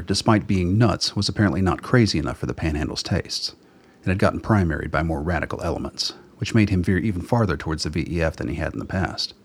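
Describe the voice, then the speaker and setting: A man narrates a scripted audio drama. His voice is exceptionally deep with a husky rasp, telling the story in the third person. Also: no echo.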